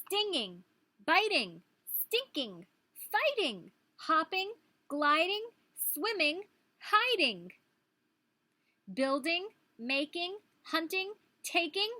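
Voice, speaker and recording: Woman, bright high voice, unhurried, children's read-aloud, teacherly prompting. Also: sing-song, no room sound. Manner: speaking to young children, prompting with questions. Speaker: preschool teacher. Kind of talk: children's picture book read-aloud with questions and asides.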